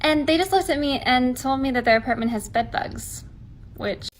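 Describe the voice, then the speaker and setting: A woman's voice: high-pitched, normal speed, slight background noise, rough recording. A woman from Canada telling a joke story with a straight face. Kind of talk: deadpan comedic storytelling.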